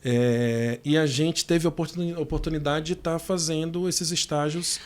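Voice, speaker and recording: A man's voice: fairly high pitch, steady tone, normal speed. A man, a physiotherapy professor chatting as an interview guest, telling his story at ease with fillers and restarts. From Salvador, Bahia, Brazil. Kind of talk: casual interview conversation.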